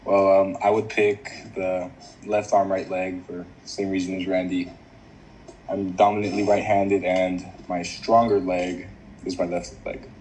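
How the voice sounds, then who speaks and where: Young male guest, very deep and monotone, low-key, faint noise. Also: unhurried, rough recording. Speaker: podcast guest. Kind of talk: casual podcast chat.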